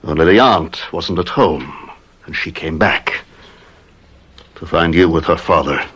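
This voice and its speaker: An actor playing a proud, jealous husband in a radio drama, melodramatic and anguished. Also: male voice, low voice, measured pace, a bit bright, husky, exaggerated voice. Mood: accusing.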